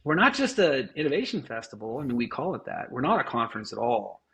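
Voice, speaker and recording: A man's voice: medium pitch, very animated, quick pace, creaky, a bit bright, slight background noise. A man speaking as an interview guest, talking casually and energetically, swearing now and then and restarting his sentences. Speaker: guest, festival founder.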